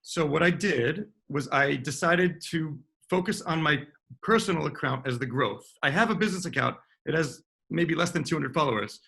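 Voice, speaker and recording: A man with a scratchy, husky voice and a rough, gravelly edge, speaking a touch higher than most men. He chats easily as a dentist on a panel, agreeable and conversational, pausing to clear his throat. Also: fairly bright tone. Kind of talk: casual panel discussion.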